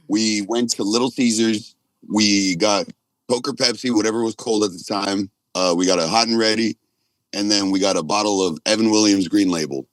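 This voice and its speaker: Low-pitched man in his forties telling stories. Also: fairly even intonation, no echo, low-quality recording. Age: 40s. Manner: rambling, halting. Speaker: podcast guest, punk band drummer. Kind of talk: casual storytelling, sweary.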